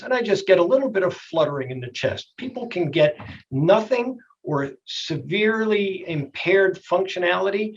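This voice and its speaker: A middle-aged or older man with a slightly husky voice, a doctor explaining things in a plainspoken, folksy way in a TV health interview. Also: high-pitched, lo-fi sound. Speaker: doctor, expert guest.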